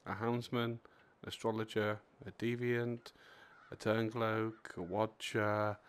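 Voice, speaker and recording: A man's voice: lowish voice, little pitch movement, slow, clean tone. A man from England with an English accent, giving a casual, self-deprecating intro and chatting with other players. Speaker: video maker and player.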